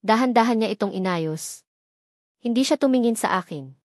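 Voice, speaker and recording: A woman's voice: fairly high pitch, lively intonation, moderate pace, darkish tone, no echo, studio quality. A woman reading a scripted, dramatic first-person story. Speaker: narrator.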